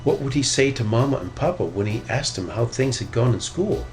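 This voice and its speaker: A man narrating a children's story in an expressive read-aloud style. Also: mid-range voice, brisk, soft background music, poor audio. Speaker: storybook reader.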